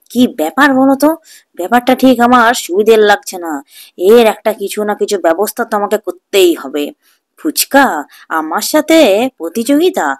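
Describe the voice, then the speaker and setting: A jealous middle-aged street-food seller from a children's cartoon, acted out by a woman. He is gruff and sly, with a sneering, scheming manner. The sound is dry and close. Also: a little high, no room sound. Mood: resentful, plotting. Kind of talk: Bengali children's cartoon dubbing.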